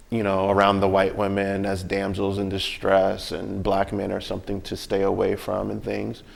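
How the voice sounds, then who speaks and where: An American man with a fairly low voice and a gravelly creak. He talks in a casual, reflective way, thinking through personal experience and often tagging his points with little checks for agreement. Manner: conversational, often seeking agreement with tag questions.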